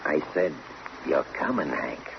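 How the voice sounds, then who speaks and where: An actor playing a smug, menacing gambler in an old-time radio crime drama, with mocking, slangy tough talk. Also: male voice, extremely low pitch, lively intonation, takes it slowly, full character voice. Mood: mocking menace. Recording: noisy muffled old radio drama recording.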